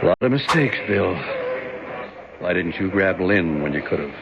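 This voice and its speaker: A worried senator and father in an old radio play, speaking gravely in a very deep voice. The recording is dull and lo-fi, with a clear hiss behind it. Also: animated, moderate pace. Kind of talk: 1970s radio drama acting.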